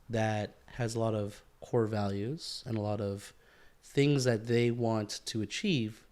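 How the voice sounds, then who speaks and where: A man's voice: lowish voice, darkish tone. A man chatting casually as a podcast co-host, using bro-ish slang and swearing.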